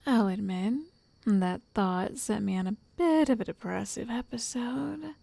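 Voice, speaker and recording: A woman playing a possessive, lovestruck witch who coaxes and controls in a soft, breathy, often whispered ASMR roleplay voice. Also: medium pitch, dry recording. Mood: obsessive, confiding. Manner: slow, tender, condescending endearments.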